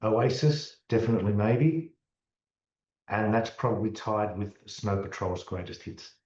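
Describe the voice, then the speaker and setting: An Australian man with a low, mellow voice talks about his music in a relaxed way, often repeating words as he restarts a thought. He sits back from the mic, and the room sounds echoey and slightly muffled. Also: unhurried. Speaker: musician, interview guest. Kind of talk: casual interview chat. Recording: distant, dull, roomy sound.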